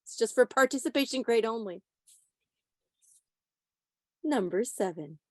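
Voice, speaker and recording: A woman's voice: fairly high pitch, expressive, a little slow, a little mellow, no room sound, clean studio sound. A woman with a playful, teasing, chatty manner, casually presenting a quiz on a video call. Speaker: quiz host at a club meeting. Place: online video meeting.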